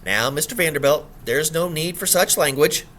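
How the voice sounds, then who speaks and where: A man reading a story voices a calm, firm older housekeeper with a thick Irish brogue, speaking softly. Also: a little high, moderate pace, a little hiss or hum, lightly acted. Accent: put-on Irish brogue.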